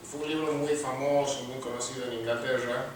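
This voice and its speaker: An Argentine man talks over his writing in a measured, thoughtful way, pausing often. He sounds far from the microphone in a very echoey room, with steady noise behind him. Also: mid-range voice. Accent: Argentine Spanish. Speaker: literature teacher and award-winning writer. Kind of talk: interview answers, then reading his story aloud. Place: large room at an award ceremony.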